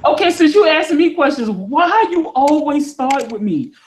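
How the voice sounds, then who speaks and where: A woman speaking as a guest on a casual online call, defensive and quick with comebacks. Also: high-pitched, lively intonation. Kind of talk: casual chat. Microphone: online call audio.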